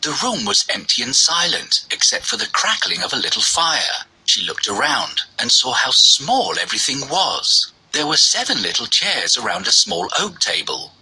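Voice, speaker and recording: A man narrating a scripted children's story with a raspy, creaky voice. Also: mid-range voice, big pitch swings, normal speed, hardly any pauses, sharp tone, poor audio.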